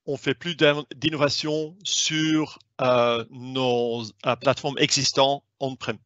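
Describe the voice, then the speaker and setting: Man, non-native speaker, hesitant webinar talk, bright voice, muffled call audio. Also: mid-range voice, flat delivery, slow pace, no room sound. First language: not French. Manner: hesitant, many fillers and restarts. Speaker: sales lead presenting a webinar. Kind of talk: online presentation. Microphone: video call. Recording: dry, slightly dull online call audio.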